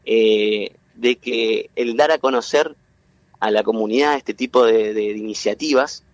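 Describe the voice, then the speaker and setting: A man from Entre Ríos in Argentina with a local accent, a guest explaining at length in an interview, halting and full of fillers and restarts. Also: lowish voice, no echo. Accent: Entre Ríos Argentine Spanish. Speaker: guest, tech cluster president.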